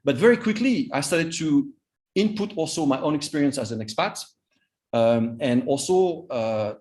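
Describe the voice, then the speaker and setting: A man in his fifties with a French accent, whose first language is Vietnamese, giving a master class as a cross-cultural coach, halting and often repeating his words. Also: on the high side, animated, darkish tone. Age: 50s. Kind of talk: live online master class talk.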